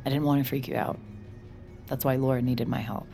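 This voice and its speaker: A woman's voice: rumbling low, flat delivery, brisk, gravelly, mellow, faint music behind. An actress playing a tired, sardonic bartender who dryly narrates her own story in an audio drama. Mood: tired, uneasy. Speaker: narrator and lead character. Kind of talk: audio fiction drama with first-person narration.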